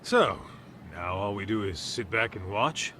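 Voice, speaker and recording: Brash, swinging-voiced tough sidekick. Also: male voice, on the low side, lively intonation, a little slow, bright, forceful tone, slight background noise. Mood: sheepish, casual. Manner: cocky, slangy, informal. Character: brash, flirty, rough-edged ex-dropout detective sidekick. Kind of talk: video game cutscene dialogue.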